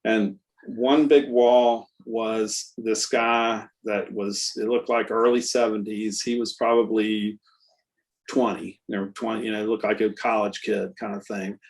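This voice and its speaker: A man in his sixties, a guest artist and musician, telling stories in a relaxed, rambling way with lots of fillers. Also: fairly low pitch, measured pace. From Texas. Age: 60s. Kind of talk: casual conversational interview.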